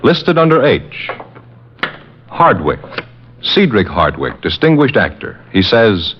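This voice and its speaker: A man working as a commercial announcer, reading a scripted 1950s radio sales pitch in an emphatic, polished manner. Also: very animated, slow, slight background noise. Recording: muffled vintage radio broadcast.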